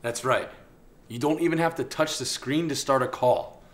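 A man's voice: medium pitch, normal speed. A man in his thirties doing an upbeat, scripted comedic product presentation in a salesman's delivery. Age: 30s.

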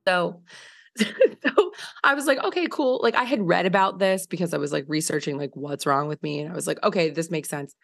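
A woman in her thirties, hoarse from a recent illness, telling a story on a podcast in a casual, filler-heavy way, laughing often. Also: lowish voice, moderate pace. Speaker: podcast co-host. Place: home living room by windows. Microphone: large studio mic on video call.

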